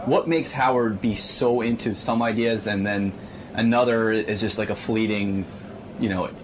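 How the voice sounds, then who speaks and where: Male interviewer, lowish voice, casual questioning, clearly noisy background. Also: fairly even intonation, normal speed. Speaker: radio interviewer.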